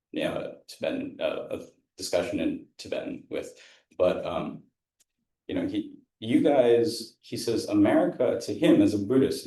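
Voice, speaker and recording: A man's voice: fairly low pitch, mellow, roomy sound, rough recording. A man who grew up in India, interpreting a Buddhist teacher for an audience in a loose, rambling way with lots of fillers and restarts. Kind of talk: interpreting a dharma talk, casual. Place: event room with an audience.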